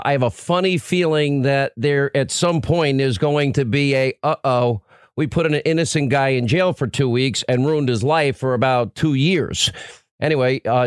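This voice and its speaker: A man's voice: medium pitch, dry recording. A man hosting a radio talk show, interviewing guests in a rambling, chatty way with frequent restarts. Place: radio studio.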